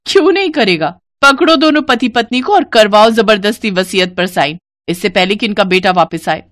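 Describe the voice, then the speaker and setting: Woman voicing a scheming, taunting young daughter-in-law, animated. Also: medium pitch, moderate pace, lightly acted. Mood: bossy. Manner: taunting, bossy.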